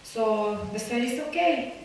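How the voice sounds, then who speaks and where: A woman's voice: high voice, slight background noise. Earnest woman speaking from far away. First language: Romani. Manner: earnest, halting English with many fillers and restarts. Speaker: community speaker. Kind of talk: personal testimony to a group. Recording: echoey room, voice from far off.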